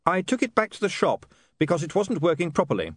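A calm young man speaks in a distant, dry-witted way, as a reader plays him. His voice sits a bit high and moves in a lively way. The recording is dry and close. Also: fast talker, no echo.

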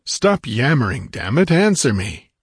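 A man voicing a massive barbarian warrior who speaks with rumbling scorn and blunt demands. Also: sing-song, unhurried, husky, no echo, clean studio sound, heavily acted. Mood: scornful. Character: massive gruff barbarian warrior, angry and contemptuous.

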